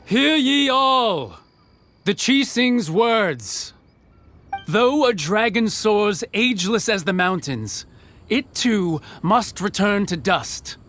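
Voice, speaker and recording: An actor playing a formal town crier in a video game, declaiming a solemn proclamation grandly. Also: male voice, high voice, animated, takes it slowly, a bit bright, faint music behind, full character voice. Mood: solemn, proclaiming.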